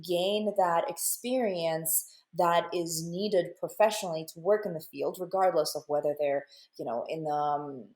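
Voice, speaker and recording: A woman's voice: on the low side, fairly even intonation, normal speed, few pauses, darkish tone, roomy sound. A woman with a Russian accent, hosting an interview in a casual, thoughtful way, searching for her words. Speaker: interview host.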